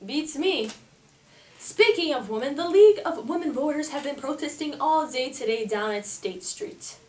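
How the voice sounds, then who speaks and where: A woman's voice: high voice, expressive, normal speed, darkish tone. A woman playing a flighty, flirtatious, slangy 1920s news anchor in an amateur mock newscast. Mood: gushing, scatterbrained. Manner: theatrical, slangy. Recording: echoey room, distant mic, faint noise.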